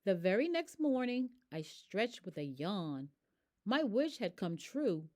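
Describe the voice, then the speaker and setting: Slow, very animated woman storyteller. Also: medium pitch. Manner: expressive, emphatic. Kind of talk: read-aloud children's rhyming story.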